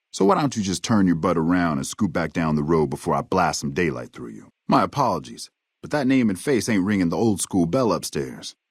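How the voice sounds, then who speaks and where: A gruff American ranger for a video game, with a very low voice that swings freely up and down. He is cynical and folksy, drawling out sardonic tough talk, and the sound is close and dry. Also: no room sound, full character voice. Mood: sizing up, sardonic. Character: gruff, wry, cynical frontier army ranger man. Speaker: game character voice actor. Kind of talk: video game dialogue lines.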